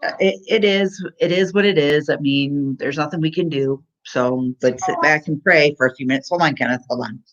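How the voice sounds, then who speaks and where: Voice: mid-range voice, normal speed, few pauses, a bit bright. Casual livestream host with dull, lo-fi audio. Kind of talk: casual chat about family updates. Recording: muffled lo-fi voice-chat audio.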